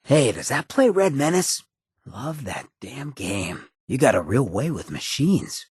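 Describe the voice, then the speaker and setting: A swaggering undead outlaw leader for a video game, with a badly rasped, gravelly voice. He talks in a sly streetwise drawl that swings lively up and down, close and dry. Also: medium pitch, a little slow, no echo, heavily acted. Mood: wry, easygoing. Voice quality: gravelly, raspy. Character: charismatic irradiated ghoul mayor, roguish, swaggering outlaw leader. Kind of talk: video game companion dialogue.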